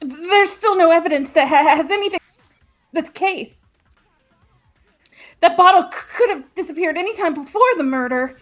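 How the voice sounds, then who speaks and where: A woman's voice: very high voice, normal speed, long pauses, faint music behind. A woman voicing a nervous, stammering schoolgirl who defends herself against an accusation in a fan dub of a game. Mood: defensive.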